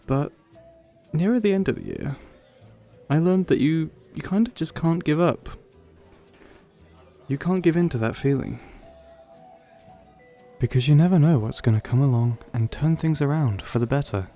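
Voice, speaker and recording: A man's voice: mid-range voice, warm tone, close to an everyday voice. A man playing an awkward, wistful young old friend in an audio roleplay, talking hesitantly and rambling in a self-deprecating way. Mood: hopeful, earnest. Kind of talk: audio roleplay, conversational acting addressed to listener.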